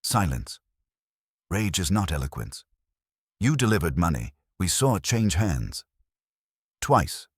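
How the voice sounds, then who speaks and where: A man's voice: low-pitched, very animated, slow pace, pauses often, slightly rough, no echo, clean studio sound, full character voice. A man voicing a stern, imperious detective genius in an audiobook, with a pompous, formal and accusatory way of speaking. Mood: accusing, commanding.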